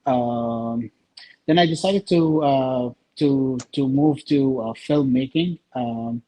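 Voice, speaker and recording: A man with an Egyptian accent answers interview questions in a mid-range voice. His talk is casual and halting, broken into short phrases with frequent pauses. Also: medium pitch, warm tone, rough recording. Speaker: interview guest, filmmaker.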